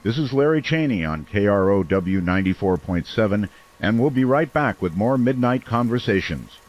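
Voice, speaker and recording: A man's voice: fairly low pitch, animated, moderate pace, highs cut off, natural voice. A man playing a concerned late-night radio host in an audio drama about a small town. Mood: grave. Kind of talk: radio drama talk-show hosting. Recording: noisy, rain ambience behind.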